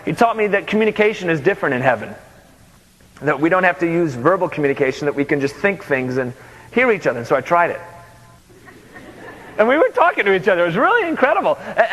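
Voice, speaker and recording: A man preaching a story in the first person, animated and conversational, in a large hall. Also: sits high, fast. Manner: animated, conversational, with acted dialogue. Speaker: pastor. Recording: large hall with long reverb.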